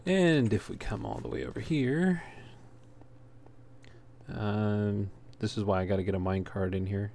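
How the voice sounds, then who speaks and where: A man's voice: lowish voice, measured pace, long pauses, warm tone. A man hosting a gaming video with casual, unscripted walkthrough commentary, speaking a little hesitantly. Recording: noisy home recording, clear background noise.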